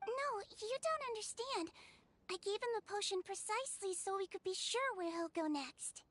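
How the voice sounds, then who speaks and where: Very high-voiced girlish nurse. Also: lively intonation, normal speed, fairly bright tone. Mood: earnest, urgent. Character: sweet, caring young head nurse, girlish and assured. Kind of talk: video game voice acting.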